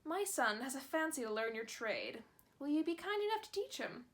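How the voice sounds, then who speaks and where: A woman's voice: high voice, sing-song, moderate pace. A woman voicing a worried widowed mother, speaking earnestly and sorrowfully.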